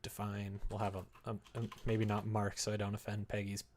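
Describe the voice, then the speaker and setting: A man's voice: fairly low pitch, completely flat delivery, a little fast, a little mellow, slight background noise. A man live streaming as he develops a game, chatting casually and muttering to himself as he works at his desk. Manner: muttering to himself between replies to chat. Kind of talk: casual chat while working. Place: indoors at a computer desk.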